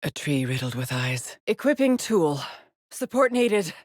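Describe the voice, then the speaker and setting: A weary, haunted woman astronaut from a video game. Her voice is low and very dry, close and without room sound. She speaks in clipped, terse phrases with a brooding edge. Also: very animated, a little slow, dry recording, exaggerated voice. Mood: brisk, urgent. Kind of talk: scripted game character voice lines.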